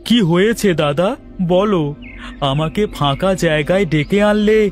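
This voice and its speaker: A man's voice: high voice, moderate pace, faint music behind, natural voice. A man voicing a timid, honest village sweet seller, polite and anxious, in a cartoon. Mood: pleading. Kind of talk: cartoon moral-story dialogue.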